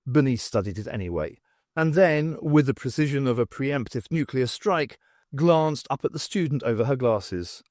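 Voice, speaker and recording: A man's voice: mid-range voice, lively intonation, moderate pace, darkish tone, dry recording, studio quality. A man narrating scripted fiction as an audiobook reader.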